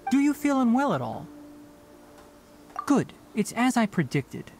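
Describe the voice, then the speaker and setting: An actor playing a young, calm forest ranger scholar in a video game, speaking precisely and matter-of-factly like a teacher. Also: male voice, very high voice, a little slow, gravelly, soft background music, heavily acted. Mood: analytical. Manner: precise, didactic, matter-of-fact. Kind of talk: scripted video game dialogue.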